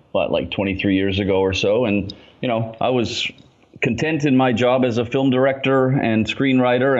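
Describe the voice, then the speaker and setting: Canadian-raised guy in his 40s, laid-back rambling chat, lowish voice, kinda muffled sound. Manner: relaxed and chatty, lots of fillers, laughs easily. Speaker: guest, urban design consultant. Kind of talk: casual interview chat.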